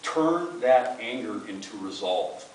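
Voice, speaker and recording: A man's voice: lowish voice, expressive, slow. A man running for office, giving an earnest campaign speech to a room of supporters and often repeating words as he starts a sentence. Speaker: political candidate. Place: meeting room with an audience. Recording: echoey room, far from the mic, faint hiss.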